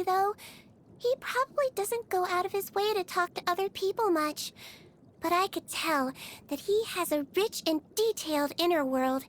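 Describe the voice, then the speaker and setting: A woman playing a small, sweet, earnest creature who works as a nurse in a video game, gentle and bubbly with an innocently clinical manner. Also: very high voice, slight background noise. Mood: fond, sweet. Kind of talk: video game character voice lines. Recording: music behind the voice.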